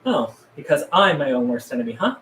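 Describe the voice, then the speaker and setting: A man's voice: medium pitch, animated, a little fast, a bit bright, roomy sound, a little hiss or hum, lightly acted. A man playing a weary, sardonic survivor in his thirties in a film script read. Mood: sardonic, grumbling.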